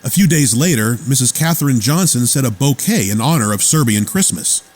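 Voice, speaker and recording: Man as animated, loyal lab assistant. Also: medium pitch, fairly quick, natural voice. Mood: wry. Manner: earnest, plain storytelling. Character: loyal, watchful male lab assistant recounting his boss's life. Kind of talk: radio theater narration. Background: music.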